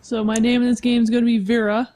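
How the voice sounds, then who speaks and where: A woman's voice: fairly high pitch, flat delivery, fast talker, warm tone. A woman casually describing her character as a player on a tabletop game podcast, wry and playful.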